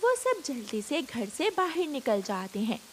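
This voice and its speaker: A woman's voice: high voice, sing-song, unhurried. A woman narrating a children's cartoon story as a scripted storyteller.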